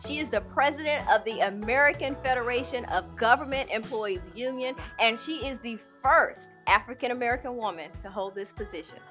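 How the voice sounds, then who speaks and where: A woman's voice: on the high side, brisk, hardly any pauses, pushed and bright, faint music behind. A woman co-hosting an awards program, reading scripted introductions of honorees in a formal, careful way.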